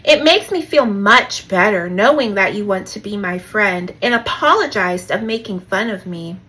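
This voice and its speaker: A woman's voice: medium pitch, expressive, moderate pace, pushed and bright, pitched below the performer's own voice. A woman voicing a young storybook toad schoolgirl, earnest and frank, easily hurt but forgiving.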